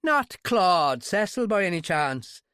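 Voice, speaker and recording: A man voicing a gruff, suspicious middle-aged Irish rig foreman in an audiobook, blunt and rough in tone. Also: very animated, slow, bright, forceful tone, dry recording, clean studio sound, exaggerated voice. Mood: puzzled, blunt. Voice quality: deep, rough. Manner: no-nonsense, blunt. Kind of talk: audiobook character voice.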